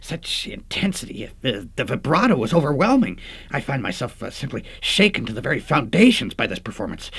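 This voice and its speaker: Satirical radio comedy: long-winded, self-important male critic, rough-edged voice, lively pitch. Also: a little fast, no room sound, heavily acted. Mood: overwhelmed. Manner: pompous, florid, hesitant. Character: pompous, effusive, long-winded male classical music critic. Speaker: panel music critic.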